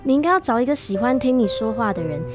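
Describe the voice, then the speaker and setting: An actress playing a gentle, sincere, reflective woman in a radio drama, speaking in a measured, deliberate way. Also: on the high side, sing-song, over music. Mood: sincere, apologetic. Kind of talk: radio drama acting with narration.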